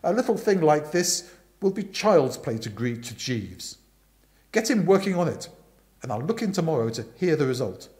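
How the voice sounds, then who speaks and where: A man voicing a bossy, indignant upper-class aunt in a storybook reading, forceful and emphatic. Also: on the high side, sing-song, moderate pace. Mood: brisk, commanding.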